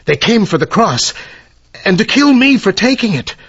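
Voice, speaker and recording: An actor playing an irascible, cornered professor with a guilty secret in an old-time radio mystery, theatrical and imperious. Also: male voice, high-pitched, very animated, moderate pace, a bit bright, slightly rough, full character voice. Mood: grim, confessing. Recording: muffled radio drama recording.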